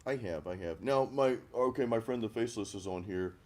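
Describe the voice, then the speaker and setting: A middle-aged man from the American Deep South hosting a casual music chat, rambling and self-deprecating with frequent restarts. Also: brisk, darkish tone. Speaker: host of a music chat stream. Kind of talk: casual chat over beers, reading live comments.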